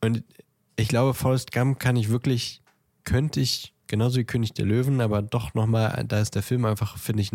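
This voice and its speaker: A man's voice: lowish voice, a little mellow, no echo. A man co-hosting a podcast, chatting casually about films and often searching for words. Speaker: podcast co-host.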